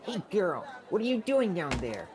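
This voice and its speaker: Casting: woman as a commanding child cupbearer, brusque, slightly stammering, lowish animated voice, radio play. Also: moderate pace, a crowd in the background. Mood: brusque, suspicious. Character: bossy child cupbearer in an Egyptian palace. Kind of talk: student radio theatre acting.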